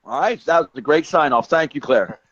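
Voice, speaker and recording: A man's voice: on the high side, expressive, a little fast, fairly bright tone, no room sound, lo-fi sound. A man hosting an online talk show, rambling with many restarts. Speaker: host.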